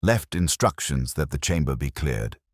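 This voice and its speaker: A man's voice: extremely low pitch, sing-song, moderate pace, studio quality, exaggerated voice. A man voicing a formal, obedient town hall clerk in a mystery story, matter-of-fact in delivery. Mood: dutiful, puzzled.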